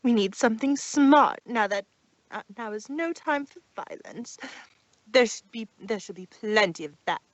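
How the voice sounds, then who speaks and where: A woman's voice: fairly high pitch, lively intonation, normal speed, no echo, lightly acted, poor audio. A woman voicing a refined, ladylike unicorn mare, indignant but composed, in a fan fiction read-aloud.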